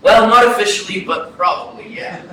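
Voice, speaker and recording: An actor playing a matter-of-fact character answering questions in a staged reading. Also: male voice, high voice, moderate pace, pushed and bright, slight background noise. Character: matter-of-fact person answering odd questions about world events. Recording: very echoey theatre from far off.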